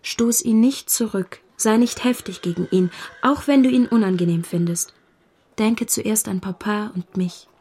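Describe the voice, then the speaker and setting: An actress playing a gentle, devoted young woman with an earnest, composed manner in a radio drama. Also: mid-range voice, measured pace, husky. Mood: worried, earnest. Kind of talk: German radio drama dialogue.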